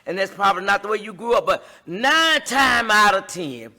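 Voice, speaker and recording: A woman's voice: mid-range voice, lively intonation, moderate pace, pushed and bright. A middle-aged woman hosting a relationship advice talk, speaking emphatically in a repetitive, sermon-like cadence. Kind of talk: preaching-style advice talk.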